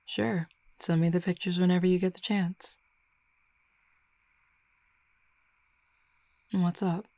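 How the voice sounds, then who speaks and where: A woman playing a flirty, easygoing female werewolf in a roleplay audio drama, relaxed, confident and teasing in an intimate, unhurried conversation. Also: on the low side, no room sound, lightly acted. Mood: relaxed, attentive.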